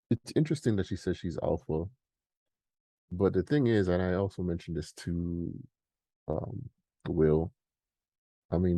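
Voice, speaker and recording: A man's voice: deep voice, warm tone, no echo. A young man co-hosting a podcast, talking in a loose, rambling way with lots of fillers. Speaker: podcast co-host. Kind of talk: casual commentary chat.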